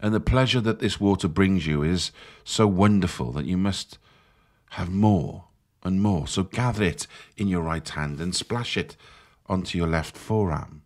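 A man leading a guided meditation in a soothing, measured, instructive manner. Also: low-pitched.

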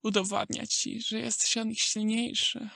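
A woman playing an old male wolf in a Polish audio drama, a smooth, contemptuous schemer with a creaky voice and an insinuating manner. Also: on the high side, level tone, normal speed, bright, forceful tone, no echo, full character voice. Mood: scornful, persuasive.

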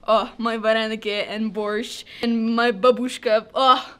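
Teen girl from ukraine, kinda high voice, cheeky and joking. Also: flat delivery, measured pace, a bit bright, clear, resonant tone. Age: teens. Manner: playful and boastful. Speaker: exchange student. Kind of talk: casual school TV interview.

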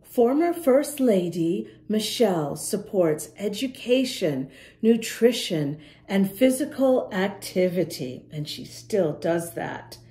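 A woman's voice: medium pitch, lively intonation, takes it slowly, warm tone. A woman reading a picture book aloud to young children, encouraging and emphatic, speaking to them directly. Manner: encouraging, addresses young listeners directly with questions. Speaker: children's storytime reader and host.

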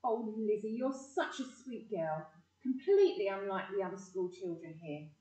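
A woman playing a kind, bookish English teacher in an audio play, with a soft, gentle voice. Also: mid-range voice, moderate pace, natural voice. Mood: fond, gentle. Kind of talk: stage-style audio play. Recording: roomy, distant from the mic.

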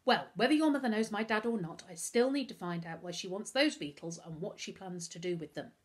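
A woman voicing an earnest, loyal young boy in a children's storybook, coaxing and gently persuasive. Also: medium pitch, brisk, slightly rough, lightly acted. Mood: determined. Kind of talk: acted dialogue in a children's storybook.

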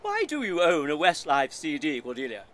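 A woman's voice: sits low, huge pitch swings, normal speed. A woman with a British accent playing an earnest, polite, nosy male neighbour in an audio comedy play. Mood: puzzled.

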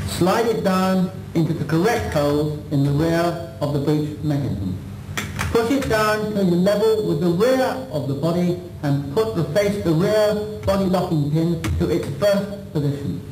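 A man with a slightly high voice narrates a practical demonstration as an instructor. He is formal and methodical, going step by step. The sound is a roomy old videotape copy with faint noise. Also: expressive, moderate pace. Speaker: weapons instructor.

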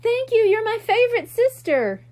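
A woman voicing an eager, excitable little boy cat-mermaid from a children's storybook, in a whiny, pleading manner. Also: very high-pitched, moderate pace, voice pushed up. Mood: delighted.

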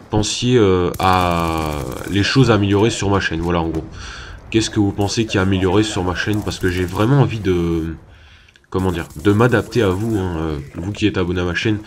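A man's voice: low-pitched, a little mellow. A young man giving casual commentary over a gameplay video, talking hesitantly with many fillers and restarts. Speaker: gaming YouTuber.

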